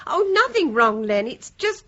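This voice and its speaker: An anxious British wife and mother of middle age, plaintive and resentful. Her high voice swoops about, on a slightly muffled radio play recording. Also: sing-song, measured pace, a bit bright, exaggerated voice. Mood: upset. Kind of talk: British radio drama acting.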